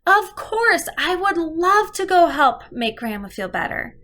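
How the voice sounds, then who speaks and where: A woman's voice: extremely high pitch, big pitch swings, unhurried, bright, forceful tone, pitched above the performer's own voice, heavily acted. A woman voicing an eager, sweet young fairy-tale girl in a storytelling lesson. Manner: eager, helpful.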